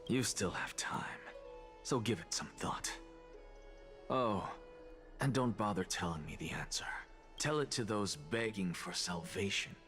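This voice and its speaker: A man's voice: fairly low pitch, animated, a little slow, a bit bright. An actor playing a sardonic male professor who lectures in a dry, cutting way in a video game. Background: game music.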